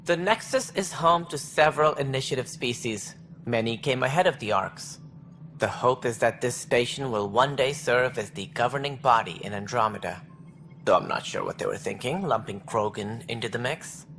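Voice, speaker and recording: A man's voice: mid-range voice, moderate pace, a bit bright, lightly acted. Man voicing a formal, wry alien bureaucrat, noisy backdrop. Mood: wry, exasperated. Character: officious alien Salarian bureaucrat, wry and earnest station director. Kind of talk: video game dialogue acting.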